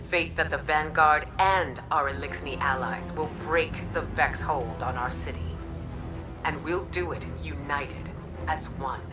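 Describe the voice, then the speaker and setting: A firm principled commander in a game, deep for a woman, speechmaking, slightly distant. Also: measured pace, over music. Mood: resolute, rousing. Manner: forceful, rousing, formal oratory. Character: firm, principled female military leader, impassioned. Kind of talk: video game broadcast speech.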